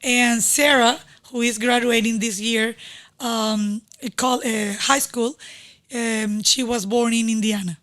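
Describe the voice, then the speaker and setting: Venezuelan woman guest, halting English. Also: fairly high pitch, steady tone. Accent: Venezuelan Spanish. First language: Spanish. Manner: warm, halting, with hesitations and non-native grammar. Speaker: guest, real estate agent. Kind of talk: casual interview. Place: podcast studio.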